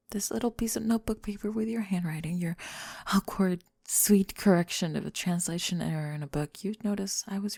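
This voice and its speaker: A woman playing a remorseful, vulnerable young woman in an intimate emotional ASMR roleplay, speaking haltingly and tenderly in a breathy, often whispered voice, close to the mic. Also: medium pitch, darkish tone, dry recording. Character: remorseful, vulnerable young woman confessing to someone she rejected. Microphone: close, dry microphone.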